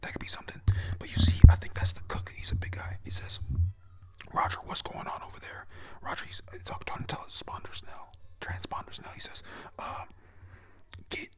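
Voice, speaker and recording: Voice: fast talker, hardly any pauses. A voice whispering a casual, profane recap of an anime episode, often stumbling over names and restarting sentences. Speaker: recap narrator.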